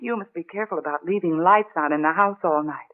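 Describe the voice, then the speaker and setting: A woman acting a chatty young housewife in a 1930s radio play. Her speech is homely and expressive, and the old recording is thin and phone-like. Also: on the low side, quick pace, lightly acted. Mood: gently scolding. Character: cheerful, chatty young housewife in a small town.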